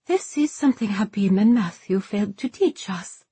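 A woman voicing a reserved young witch in an audiobook, speaking formally and precisely with a flat, dry earnestness. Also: mid-range voice, moderate pace, breathy edge, dry recording, pitched above the performer's own voice.

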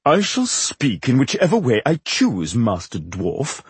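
A man's voice: mid-range voice, very animated, moderate pace, a little mellow, husky, no echo, voice pushed up. A man voicing a pompous, flamboyant actor with an exaggeratedly aristocratic, wordy delivery in a fantasy audiobook. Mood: huffy. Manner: grandiloquent, wordy, showy.

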